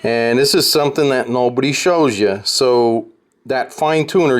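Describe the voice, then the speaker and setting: A man giving a casual, unscripted hands-on tutorial and review, explaining step by step in a blunt way. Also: medium pitch. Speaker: host of a guitar gear tutorial and review.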